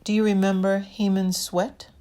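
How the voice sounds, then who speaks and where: A woman performing a dramatic reading of a commemorative speech with deliberate phrasing. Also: medium pitch, flat delivery, dark tone.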